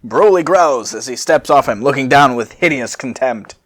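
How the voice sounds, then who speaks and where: A man's voice: medium pitch, lively intonation, normal speed, fairly bright tone. A man hosting a casual comedic story reading in a jokey, informal manner. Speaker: fan fiction reader and host.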